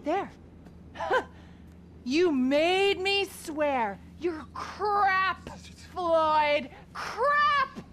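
Stuck up career woman in a video game, super high and slow, indignant, bit of noise behind. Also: expressive, sharp tone, full character voice. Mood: hysterical anger. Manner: shrill, indignant, emphatic. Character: indignant, haughty career woman, contemptuous fiancée. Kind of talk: video game cutscene acting.

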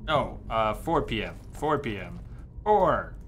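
A man's voice: medium pitch, big pitch swings, takes it slowly, fairly bright tone, a little hiss or hum. A man in his thirties giving casual, easygoing game commentary, thinking aloud and chatting with viewers. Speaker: live game streamer. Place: indoor room, streaming at a desk.

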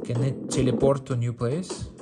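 A man's voice: medium pitch, fairly even intonation, normal speed. A man who speaks as a gives casual mobile game commentary in short fragmented phrases, often repeating words. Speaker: gaming video host. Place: indoor room, playing on a tablet. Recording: music playing behind the voice. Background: game music.

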